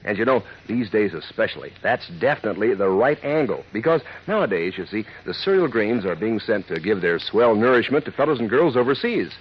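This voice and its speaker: Upbeat old radio ad guy, folksy, very swoopy, slightly husky and muffled. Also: on the low side, sing-song, a little fast, hardly any pauses. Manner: upbeat, folksy sales patter. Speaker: commercial announcer. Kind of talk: 1940s radio cereal advertisement.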